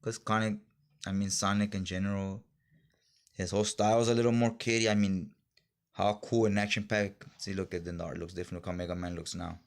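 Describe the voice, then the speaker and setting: A man's voice: lowish voice, flat delivery, brisk. A man chatting casually in slangy, informal fan talk as he reviews things for a vlog. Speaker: comic book haul reviewer.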